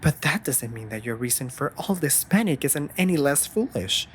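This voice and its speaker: A woman's voice: very deep voice, expressive, moderate pace, a little hiss or hum. A woman voicing a sassy, exasperated but devoted raven familiar in a fantasy audio drama, scolding theatrically in formal phrasing. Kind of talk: scripted roleplay audio drama addressed to listener.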